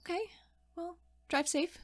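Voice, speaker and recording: A woman's voice: very high voice, steady tone, a little slow, pitched above the performer's own voice. A woman playing a casual, understated female regulator in a tabletop game, dry and easygoing. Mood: supportive. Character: casual, wry female regulator, tough but lonely.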